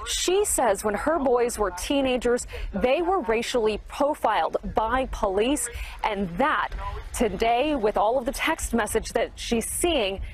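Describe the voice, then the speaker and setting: A woman delivers a live news report from outdoors. Her voice is fairly high as she speaks in a field reporter's style. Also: animated, a little slow, rough recording. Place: outdoors, street with trees.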